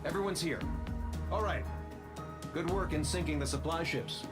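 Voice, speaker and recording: Male actor, military briefing officer, sardonic, measured, game cutscene, slightly raised pitch, prominent music. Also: natural voice. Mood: grim, wry.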